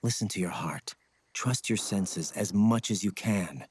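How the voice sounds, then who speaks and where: An actor playing a stoic ninja scout mentor in a video game, speaking in a grave, measured, husky voice. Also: male voice, a little mellow, no echo. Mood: solemn, instructive. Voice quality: low, husky. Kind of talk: dramatic video game acting.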